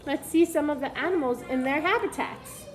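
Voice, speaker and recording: A woman preschool teacher reading a picture book aloud to young children, pausing to ask them questions in an instructive way. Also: high-pitched, poor audio.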